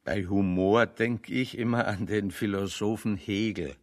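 An actor playing a bookish, wry refugee intellectual in a radio play, expounding philosophy in a pedantic, dryly ironic way. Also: male voice, fairly low pitch, fairly even intonation, a little slow, natural voice. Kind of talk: radio play dialogue, discursive monologue.

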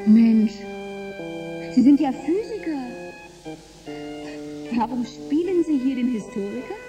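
A woman's voice: high-pitched, animated, slow, over music, rough recording. An actress playing a disillusioned, sardonic seventeen-year-old girl in a German science fiction radio drama. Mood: sceptical, curious.

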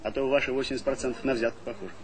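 An actor playing a brash, idealistic young engineering graduate, speaking with irony and challenge in a stage comedy. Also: male voice, medium pitch, fairly even intonation, very fast, close to an everyday voice, low-quality recording. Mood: challenging, earnest. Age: young adult. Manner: ironic, challenging. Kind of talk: Soviet stage comedy acting.

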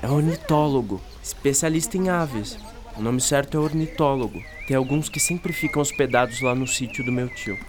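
A man's voice: medium pitch, moderate pace, noisy background, natural voice. Chatty Brazilian boy amid birdsong, played by a man. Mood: chatty, informative. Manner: chatty, enthusiastic, folksy. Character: friendly boy who knows his birds. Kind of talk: radio drama dialogue. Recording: birdsong ambience behind the voice. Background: outdoor birdsong.

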